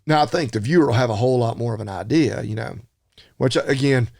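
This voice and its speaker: A laid-back man co-hosting a podcast, talking easily and filling pauses with ums and uhs. His voice has a gravelly rasp. It is recorded close and dry. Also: medium pitch, a little mellow, no echo. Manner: laid-back, chatty, hedging fillers. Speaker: podcast co-host. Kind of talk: casual chat.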